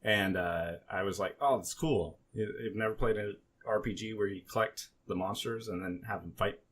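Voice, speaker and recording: A man's voice: on the low side, moderate pace, fairly bright tone, slight background noise. A man co-hosting a casual podcast, telling rambling, joking stories peppered with swearing. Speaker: podcast co-host.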